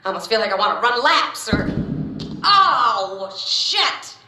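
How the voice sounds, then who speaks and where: Echoey, animated American woman chatty prisoner. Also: fairly high pitch, a little slow, heavily acted. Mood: nervous, joking. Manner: rambling, motor-mouthed, jokey. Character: chatty, nervy, wisecracking female prisoner test subject. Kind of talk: naturalistic audio drama acting. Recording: very echoey, boxed-in room sound.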